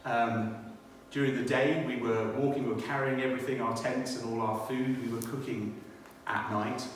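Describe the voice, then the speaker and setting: British male church speaker, testimony and scripture, thoughtful halting delivery; long reverb, faint background noise. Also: mid-range voice, fairly even intonation. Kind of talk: personal testimony and scripture reading. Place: inside a church. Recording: echoey church hall, distant, faint noise.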